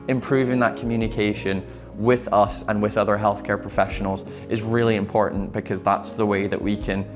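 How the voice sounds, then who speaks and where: A man who grew up in Malawi, talking about his work with relaxed, explanatory speech and some fillers. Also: fairly low pitch.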